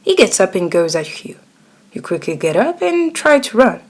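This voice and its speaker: A woman's voice: medium pitch, sing-song, moderate pace. A woman narrating a scripted ASMR roleplay story, addressing the listener directly. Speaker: narrator and voice actor.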